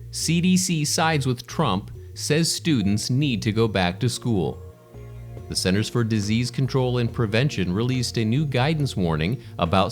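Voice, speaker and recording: A man reading scripted news narration as a newsreader. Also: medium pitch. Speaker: news reader. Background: background music.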